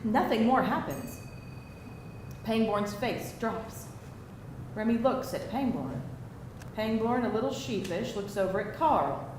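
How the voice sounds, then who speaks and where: In a very echoey venue with faint background noise, a woman narrates a screenplay. She reads stage directions at a live table read in a fairly high voice. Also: expressive, unhurried, pauses often, darkish tone. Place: indoor live reading before an audience.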